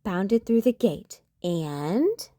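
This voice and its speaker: Expressive woman reading a kids' story. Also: mid-range voice, very slow, dry recording, studio quality. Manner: expressive, sing-song storytelling. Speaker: children's storytime host. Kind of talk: reading a picture book aloud to kids.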